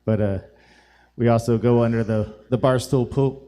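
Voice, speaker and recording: A man chatting as a guest musician on a live show, his voice raspy and hoarse, relaxed and full of fillers. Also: medium pitch, moderate pace. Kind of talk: casual chat on a live show.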